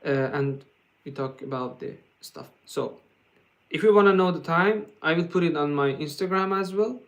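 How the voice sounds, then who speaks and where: A man from Iran with a Persian accent, hosting a guitar livestream and answering viewer questions in a casual, halting way, full of fillers and restarts. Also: fairly high pitch. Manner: laughs often, joking.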